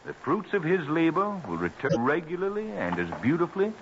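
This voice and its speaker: A dignified colonial statesman, genial and formal, lively pitch, muffled hissy old radio drama. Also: a little fast. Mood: earnest, stately. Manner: formal, eloquent, stately phrasing.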